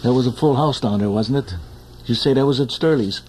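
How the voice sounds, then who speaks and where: A man's voice: mid-range voice, fairly quick, a little mellow. A man hosting a radio show, chatting casually with guests in a jovial, teasing way and laughing often. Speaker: radio host. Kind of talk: casual on-air chat. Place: radio studio.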